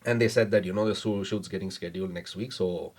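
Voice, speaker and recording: A man's voice: fairly low pitch, fairly even intonation. Man telling a story, full of fillers. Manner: measured, many fillers and hedges. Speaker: startup founder, podcast guest. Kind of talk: casual interview storytelling.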